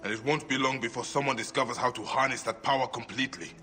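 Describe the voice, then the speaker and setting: An actor playing a stern, determined warrior investigator in a video game, questioning people in a terse, no-nonsense way. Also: male voice, medium pitch, steady tone, quick pace, sharp tone, lightly acted. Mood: grave, demanding. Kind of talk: video game cutscene acting. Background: music.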